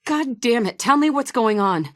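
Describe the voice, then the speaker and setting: A woman's voice: a little high, animated, moderate pace, dry recording, clean studio sound, close to an everyday voice. An actress voicing a tough, wry woman survivor in a video game, blunt and sarcastic. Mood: terse. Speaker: player character.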